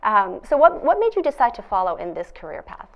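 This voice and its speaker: A woman's voice: mid-range voice, big pitch swings, a little fast, slight background noise. Woman interview host with a dull, muffled sound. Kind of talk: formal scripted introduction, then conversational interviewing. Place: studio.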